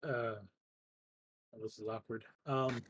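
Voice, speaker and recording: A man improvising as a player in a tabletop roleplaying game, casual and halting in his delivery. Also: medium pitch, level tone, slight background noise. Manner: hesitant, halting.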